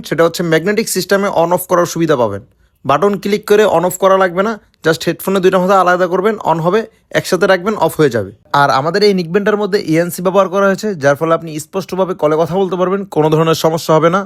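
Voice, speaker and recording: A man with a Bangladeshi accent narrating a promotional product demo as a seller. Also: high-pitched.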